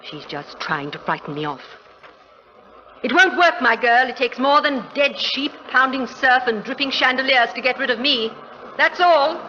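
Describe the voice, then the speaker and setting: An actress playing a plucky, haughty aristocratic bride-to-be in a 1940s radio drama, imperious and impatient. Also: female voice, high voice, expressive, bright, forceful tone. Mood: defiant. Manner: imperious, brisk. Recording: dull, noisy old radio recording.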